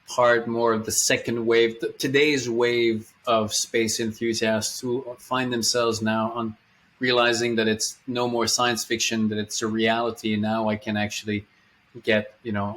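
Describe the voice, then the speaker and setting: A man's voice: mid-range voice, a bit bright. A man hosting an informal podcast, welcoming his guest and asking questions, often restarting his sentences mid-question. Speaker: podcast host. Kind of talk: casual podcast interview.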